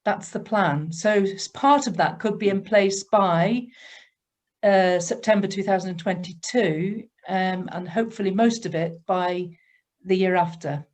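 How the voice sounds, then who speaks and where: Woman, church leader, measured, mid-pitched, video call. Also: a little mellow.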